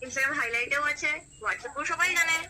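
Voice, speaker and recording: A young woman in a casual phone chat, animated and full of questions. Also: high voice, moderate pace, a little hiss or hum. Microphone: other end of a phone call.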